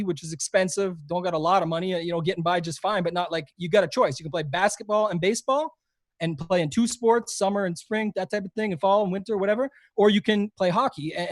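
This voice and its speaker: Young canadian guy chatting fast, kinda high voice, dry close sound, lots of fillers. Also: dry recording. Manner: eager, rambling, frequent fillers and restarts. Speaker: guest, fantasy golf podcaster. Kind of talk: casual interview chat.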